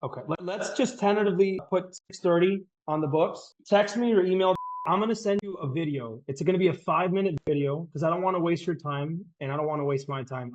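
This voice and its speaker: A man working as a real estate agent on a sales phone call, confident and persuasive, often repeating words as he starts a sentence. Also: on the high side.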